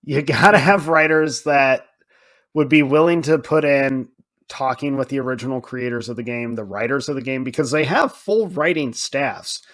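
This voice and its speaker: A man's voice: mid-range voice, normal speed, a bit bright. A man co-hosting a podcast, talking casually and thinking aloud as he goes. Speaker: podcast co-host.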